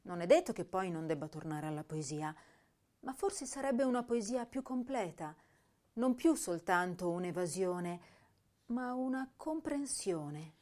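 A woman's voice: on the low side, unhurried. An actress playing an intense, troubled young woman poet of 1930s Italy, reading diaries and verse expressively in a radio drama. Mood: tender, reflective. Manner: expressive, introspective.

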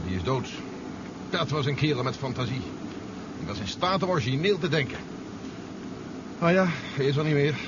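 A man's voice: a little high, lively intonation, normal speed, pauses often, lightly acted. A man acting a wry, cool-headed submarine commander in a radio drama. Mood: reflective. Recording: muffled, noisy old radio recording.

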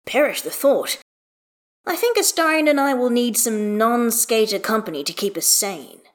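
A woman's voice: fairly high pitch, moderate pace, voice pushed up, close to an everyday voice. Woman as a dry, long-suffering dad. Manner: wry, exasperated, flatly sarcastic. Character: dry, long-suffering father, a grown man.

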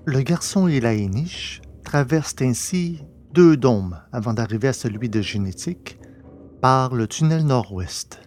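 A man playing the deliberate narrator of a children's science-fiction audio drama. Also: mid-range voice, expressive, a little mellow, slight background noise, close to an everyday voice. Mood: measured. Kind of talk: scripted narration.

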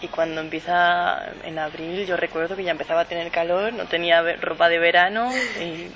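A woman's voice: on the low side, muffled recording, noisy background. Chatty young woman hosting radio. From Spain. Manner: relaxed, chatty, with quick agreeing murmurs. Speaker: radio host. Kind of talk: casual radio interview.

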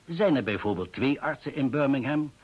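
An actor playing a measured, learned male professor in a radio drama, speaking in a precise lecturing manner. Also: medium pitch, animated, husky. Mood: matter-of-fact. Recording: muffled old radio drama recording.

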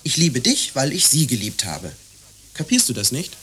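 A man's voice: mid-range voice, wildly swooping pitch, moderate pace, fairly bright tone. An exasperated young man in a radio drama. He argues emphatically and repeats himself, defending what he holds dear. A faint hiss sits under the voice. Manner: emphatic, repetitive, argumentative. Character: exasperated young man defending his lost love's keepsakes. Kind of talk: radio play dialogue acting.

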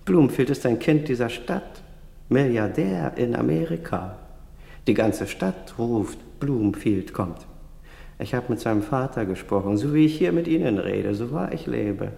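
Gravelly, grumbling eccentric, echoing. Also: male voice, mid-range voice, animated, measured pace, mellow. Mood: excited, gossipy. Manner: insistent, self-important, grumbling. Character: gaunt, grumbling, shrewd eccentric hotel lodger, a lottery dreamer. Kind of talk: German radio play acting. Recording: echoey reverberant room.

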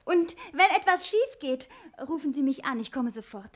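An actress playing an anxious, tender and well-meaning wife in a sixties radio drama, earnest and pleading. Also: female voice, high voice, lively intonation, normal speed. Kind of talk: 1960s German radio drama acting.

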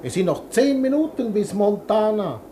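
An actor in a German radio play playing a chatty, flattering hairdresser from Lyon, effusive and obsequious. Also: male voice, sits high, moderate pace, a little hiss or hum.

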